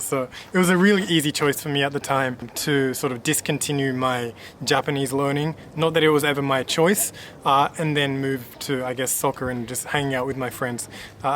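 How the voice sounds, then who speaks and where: A man in his late twenties from Australia, talking casually to camera in a relaxed, rambling way with many fillers and restarts. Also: fairly high pitch, a little hiss or hum. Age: late 20s. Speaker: vlogger.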